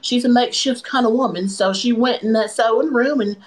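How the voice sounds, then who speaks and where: A woman's voice: a little high, a little fast, natural voice. A woman playing a brash, crude twenty-year-old church girl with a drawl, rambling and vulgar on a phone live stream. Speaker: livestream comedian. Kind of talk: improvised character comedy on a live stream. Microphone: phone camera in a video split-screen.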